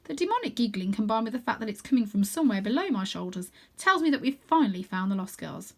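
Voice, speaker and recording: Woman, storybook narrator, dramatic read-aloud, high, very lively intonation. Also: fast, vocal fry. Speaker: storybook reader. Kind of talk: expressive read-aloud of a children's novel.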